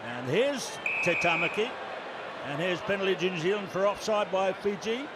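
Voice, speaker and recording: Lead play-by-play man, high voice, brisk name-calling, loud stadium din underneath. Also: animated. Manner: brisk play-by-play, calling names as the action unfolds. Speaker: play-by-play commentator. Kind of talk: live rugby sevens commentary. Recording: commentary over loud stadium noise.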